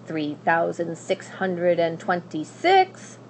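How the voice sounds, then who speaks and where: A woman's voice: lowish voice, very animated, a little mellow, a little hiss or hum, exaggerated voice, poor audio. A woman voicing a cold, menacing storybook witch, stern and disdainful, drawing out her words. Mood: cold, indifferent. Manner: slow, drawn-out, disdainful.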